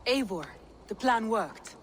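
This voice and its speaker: Stern, commanding Viking leader. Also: female voice, fairly high pitch, animated, slight background noise. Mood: resolute. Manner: authoritative, measured. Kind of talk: dramatic video game acting.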